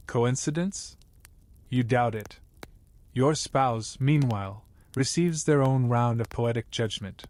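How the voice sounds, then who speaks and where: A man narrating a scripted bedtime story in a measured, wry way. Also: mid-range voice, darkish tone.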